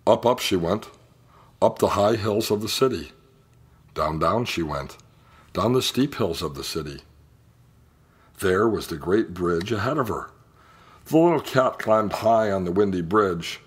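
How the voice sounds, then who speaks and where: A man reading a children's picture book aloud in an expressive storytelling style. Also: deep voice, husky. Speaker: storybook reader.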